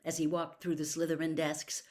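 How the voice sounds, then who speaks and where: Woman reading a story aloud, low-pitched. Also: completely flat delivery, normal speed, darkish tone. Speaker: audiobook reader. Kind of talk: expressive storybook narration.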